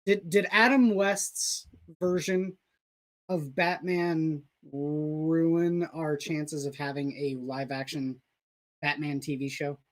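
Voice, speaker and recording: A co-host chatting on a live comics stream, taking time to inform the viewers. The voice sits at an ordinary middle pitch, and the talk is measured and casual. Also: fairly even intonation.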